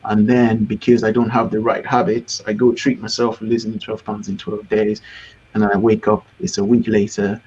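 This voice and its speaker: A man guesting in a casual interview, relaxed and reflective with self-deprecating humor. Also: lowish voice, moderate pace, lo-fi sound, a little hiss or hum. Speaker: business coach guest.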